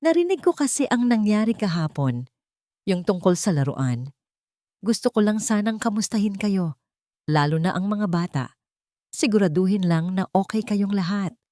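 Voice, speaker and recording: A woman's voice: mid-range voice, lively intonation, moderate pace, mellow, no echo, studio quality, voice pushed up, natural voice. A woman voicing a polite man who sounds concerned and confiding in an audio drama. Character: courteous man feigning sympathy, secretive, cautioning a maid. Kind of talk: audio drama character dialogue.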